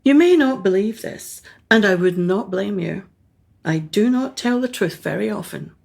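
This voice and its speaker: An actress playing a haughty, self-absorbed successful painter in a radio play, arch and condescending. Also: female voice, medium pitch, lively intonation, normal speed, creaky, a little mellow. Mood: candid, cool.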